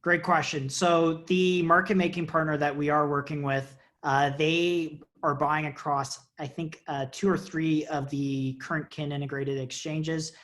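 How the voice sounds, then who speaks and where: A man in his thirties answers questions on a video call, speaking through an earphone mic. His voice sits a little high, and he explains things with eager energy, often pausing on an uh. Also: level tone, fairly bright tone. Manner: enthusiastic, explains at length with many uhs. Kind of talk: casual video call interview. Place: indoor office with banner. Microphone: earphone headset on video call.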